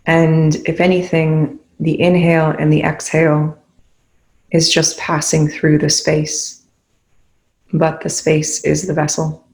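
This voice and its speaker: Meditation guide, calm and instructive, mid-pitched with noticeable vocal fry, leaving space between phrases. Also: slow pace, poor audio.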